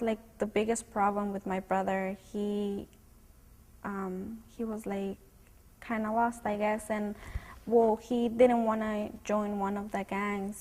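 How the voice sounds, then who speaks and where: A young woman from Guatemala speaks as a student sharing her story. Her voice stays in a narrow, even range, and she talks hesitantly with soft fillers. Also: mid-range voice. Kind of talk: personal testimony.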